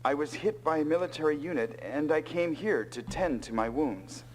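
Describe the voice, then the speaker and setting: A man's voice: on the high side, animated, normal speed, slight background noise, lightly acted, poor audio. An actor playing a big, easygoing male soldier pilot in an anime-style English video game dub.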